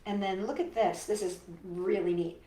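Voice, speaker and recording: A woman hosting a storytime for young children, reading and singing along in an animated, encouraging way and addressing her listeners directly. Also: mid-range voice, normal speed, echoey room, rough recording. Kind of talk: read-aloud and sing-along for young children.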